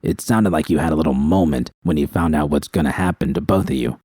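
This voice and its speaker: A man's voice: rumbling low, fast, darkish tone, husky, no room sound, clean studio sound, voice pushed down. A man voicing a menacing male gangster in an audiobook, gloating and taunting as he drawls out threats. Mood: bored, gloating. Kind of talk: dramatic audiobook character reading.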